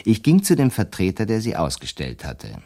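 An actor playing a shrewd, self-assured defence lawyer in a radio play, explaining his schemes deliberately and slyly. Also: on the low side, wildly swooping pitch, creaky, dark tone, exaggerated voice. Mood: confident, sly. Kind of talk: German radio drama dialogue.

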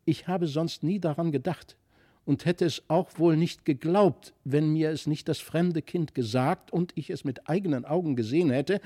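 A man's voice: a little high, mellow. A man doing an earnest, eager young boy. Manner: earnest, insistent. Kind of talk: audiobook character voice.

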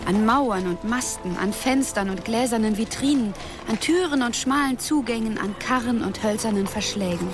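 A woman's voice: on the high side, moderate pace, music in the background, lightly acted. A woman playing a storyteller, reading scripted narration in a radio drama. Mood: descriptive.

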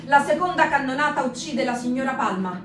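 A woman's voice: sits high, expressive, a little fast, noisy background. A woman reciting a war story in a performed reading, intense and lingering, sometimes half-singing her phrases. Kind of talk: dramatic recitation. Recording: roomy radio broadcast from a distant mic. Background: loud guitar music.

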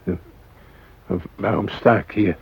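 An actor in a radio drama playing an ordinary captive family man, shaken but protesting in halting, plain-spoken phrases. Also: fairly low pitch, gravelly, husky, full character voice. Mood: uneasy. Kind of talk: radio drama acting, naturalistic. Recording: dull lo-fi radio drama recording.

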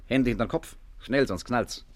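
An actor playing a cocky, coolly threatening gentleman gangster in a 1950s German radio play. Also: medium pitch, a little slow, lightly acted. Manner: breezy, mocking, self-assured.

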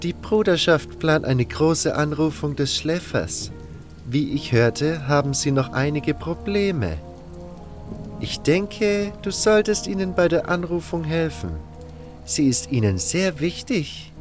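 An actor playing a cold, condescending baron who gives orders in a fantasy audio drama, with music underneath. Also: male voice, fairly high pitch, expressive, takes it slowly. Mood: cool, calculating. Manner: condescending, scornful. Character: cold, haughty male baron giving orders.

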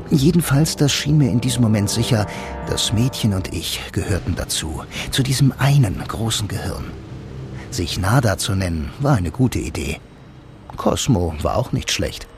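A low-voiced lonely blind man with a wry, laconic film noir manner. He tells his story in the first person, like a hard-boiled dreamer in a radio play, with music playing behind him. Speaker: narrator and lead actor.

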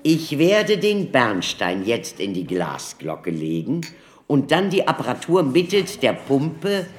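An actor in a German radio play as a theatrical doctor who lectures an audience like a showman, declamatory and deliberate. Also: mid-range voice, huge pitch swings, slight background noise. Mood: instructive.

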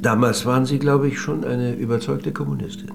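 An actor playing a courteous, probing author who interviews and narrates history in a scripted documentary radio drama, speaking with measured precision. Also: male voice, medium pitch, steady tone, gravelly, music in the background, natural voice.

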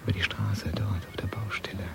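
A man's voice: deep voice, level tone, fairly quick, creaky. An actor playing a cold, calculating criminal man who mutters his scheming thoughts in clipped, sneering phrases in a radio play. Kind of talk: radio play interior monologue. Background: music.

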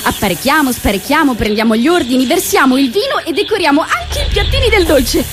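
A woman's voice: high-pitched, sing-song, fairly quick, noisy background. A young actress playing a sardonic young woman who scrapes by on odd jobs, in a declamatory stage monologue. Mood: matter-of-fact. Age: young adult. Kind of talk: theatrical monologue, list-like recitation. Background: music.

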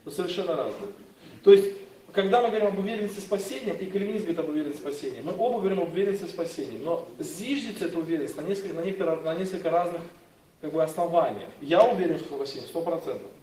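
A man leading a church Bible study, fast and animated, stumbling over restarts as he explains. His voice is high for a man, and he is picked up from across an echoing room. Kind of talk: informal sermon with questions and answers. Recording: echoey room, mic far off.

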